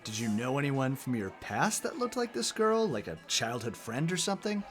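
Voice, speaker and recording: An actor playing a thoughtful, helpful male friend in an audio drama, measured and inquisitive. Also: medium pitch, big pitch swings, a bit bright, soft background music. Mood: curious, analytical. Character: thoughtful, helpful male friend who knows dream theory.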